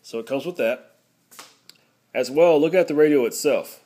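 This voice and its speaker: A man's voice: on the high side, normal speed, warm tone. A man narrating a casual product review, explaining things step by step.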